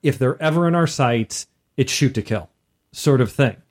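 A man's voice: mid-range voice, animated, moderate pace. A man co-hosting a casual, conversational discussion about tabletop games. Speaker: podcast co-host and tabletop gamer.

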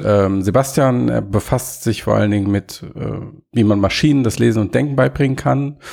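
A man hosting a podcast, introducing the episode and asking measured questions. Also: fairly low pitch, vocal fry, a little mellow. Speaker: podcast host.

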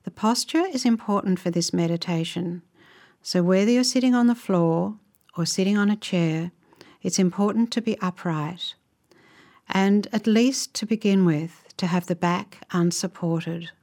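A woman leading a guided meditation in a calm, measured, instructive way, with soft, breathy phrase endings. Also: mid-range voice.

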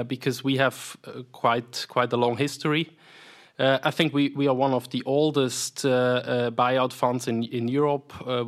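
A man's voice: medium pitch, a bit bright. Hesitant Swiss man with fillers. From Switzerland. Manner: hesitant, many fillers and repeated words. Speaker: private equity investment professional, guest. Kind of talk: conversational interview answers.

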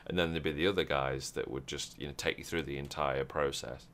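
A man's voice: rumbling low, fairly even intonation, brisk, fairly bright tone. A man hosting a roleplay podcast, chatting casually and rambling with many fillers and restarts. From Europe. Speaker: podcast host.

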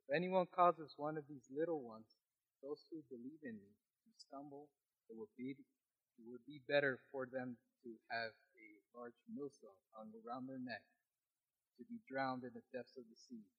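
A man with a small, quiet voice reading scripture aloud in a Bible study, steady with occasional restarts. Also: mid-range voice, normal speed, husky. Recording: dull lo-fi recording.

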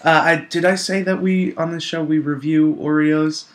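A man's voice: a little high, steady tone, moderate pace. A young man co-hosting a casual chat show, rambling and self-deprecating, laughing often as he talks. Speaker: podcast co-host.

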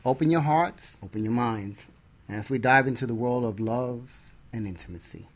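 Man co-hosting talk radio, medium pitch. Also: normal speed. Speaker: radio show co-host.

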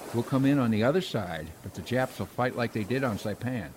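A man playing a brisk, gruff Marine sergeant giving orders in a World War Two radio drama. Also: medium pitch, noisy background, natural voice. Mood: matter-of-fact.

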